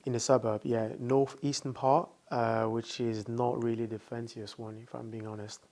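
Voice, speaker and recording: A man's voice: medium pitch, little pitch movement, normal speed, mellow. A young man from France, a company founder, talking as a guest in a casual interview, thoughtful and hesitant, with many fillers.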